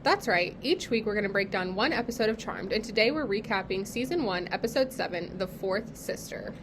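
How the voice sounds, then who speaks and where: A woman's voice: medium pitch, expressive, brisk, background noise. A woman co-hosting a podcast, recapping a TV episode in casual chat with lots of fillers, still getting over being sick. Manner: chatty, many fillers like "like" and "um". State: recovering from illness. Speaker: podcast co-host.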